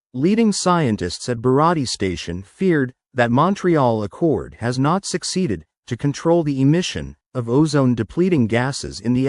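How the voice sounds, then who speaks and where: A man reading short academic texts aloud from a script in a measured, carefully enunciated way. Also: medium pitch, a little mellow, no room sound, studio quality.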